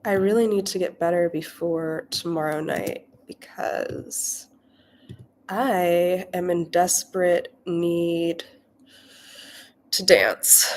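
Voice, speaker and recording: A young woman with a cold and a nasal voice, chatting casually on a coding livestream with lots of filler ums. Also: lowish voice. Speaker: livestreaming coder.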